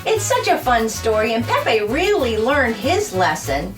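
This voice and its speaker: A woman reading a children's story aloud in a sing-song, deliberate way, with short teaching asides addressed to young listeners. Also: on the high side, big pitch swings, music in the background. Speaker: author reading own children's book.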